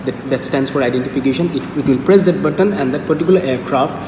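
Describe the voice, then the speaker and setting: A young adult man giving an informal, step-by-step lecture in a halting, repetitive way. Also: mid-range voice. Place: indoor room, echoing. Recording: echoey room, distant mic, clearly noisy.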